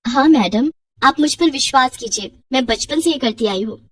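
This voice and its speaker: Woman playing humble young wife, story character, high lively voice, deferential, eager, dry, slightly distant. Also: normal speed, no echo. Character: humble, earnest young wife working as henna artist.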